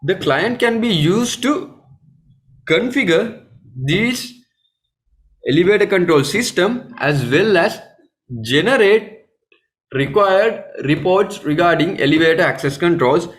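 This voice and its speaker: Male technical trainer, Sri Lankan accent, slightly high voice, explanatory webinar delivery with halting phrasing. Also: animated, a bit bright. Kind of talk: live webinar training presentation.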